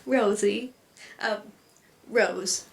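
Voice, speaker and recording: A woman's voice: mid-range voice, extremely slow, creaky. An actress playing a prim, plucky, forthright lady in a live radio play, with a proper, earnest manner. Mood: tender. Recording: roomy live stage recording.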